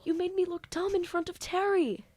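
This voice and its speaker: A woman's voice: extremely high pitch, steady tone, moderate pace, a little mellow. A woman playing an awkward, eager young student who dabbles in demon summoning, in an amateur audio drama. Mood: frustrated, sheepish. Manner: casual, hesitant, self-deprecating. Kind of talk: amateur audio drama acting with first-person narration. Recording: echoey room.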